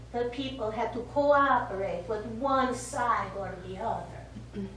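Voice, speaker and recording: A distant, very reverberant stage recording of an actress playing a bitter, wounded older Italian woman. She speaks high and slowly, weighing her words as accusations in a theatrical drama. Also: slight background noise. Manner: deliberate, accusing. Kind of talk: stage play acting. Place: small theatre studio, recorded from afar.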